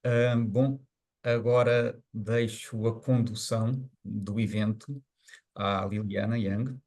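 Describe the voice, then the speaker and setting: A man hosting an online event, speaking haltingly with many restarts. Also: lowish voice, steady tone, a little mellow. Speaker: event host. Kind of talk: hosting a webinar.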